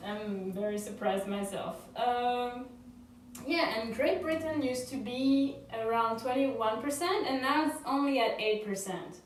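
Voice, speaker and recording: A young woman from France co-hosting a couple's vlog, explaining her results in a calm, measured way. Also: on the high side, distant mic, a little hiss or hum. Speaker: vlog co-host. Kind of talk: casual chat. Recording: echoey room, off-mic.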